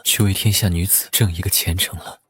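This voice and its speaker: A man acting a gentle, protective husband full of regret in an animated costume drama, hushed and sorrowful. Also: low voice, fairly quick. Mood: grave. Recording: echoey, music underneath.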